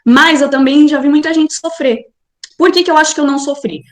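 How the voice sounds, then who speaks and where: Brazilian woman guest, bright-pitched, lively, room echo on the line. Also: fairly quick. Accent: Brazilian Portuguese. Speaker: interview guest. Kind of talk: casual video call interview.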